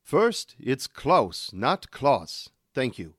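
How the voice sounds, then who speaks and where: A man's voice: medium pitch, sing-song, drawn-out words, fairly bright tone, breathy edge, dry recording, studio quality, exaggerated voice. A man playing an indignant, sanctimonious Christmas zealot in a satirical radio drama, pompous and pedantic in his delivery. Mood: indignant, preachy.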